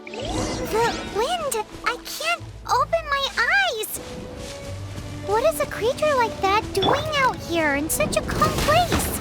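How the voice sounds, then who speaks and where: A woman voicing an excitable tiny female fairy companion in a video game cutscene, squeaky and eager, asking questions and exclaiming. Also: slow pace, exaggerated voice. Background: game soundtrack music, loud.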